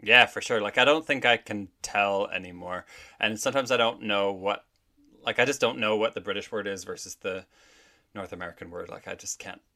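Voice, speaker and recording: A man's voice: fairly low pitch, a little fast, pushed and bright. A man from Canada, a drag performer, chatting casually as a guest on a podcast, full of "like" and "I think". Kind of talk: casual interview chat.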